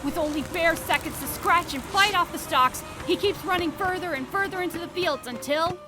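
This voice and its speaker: A woman's voice: extremely high pitch, normal speed. A woman narrating a spooky fantasy audio drama as a dramatic, scripted storyteller. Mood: suspenseful. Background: music behind the voice.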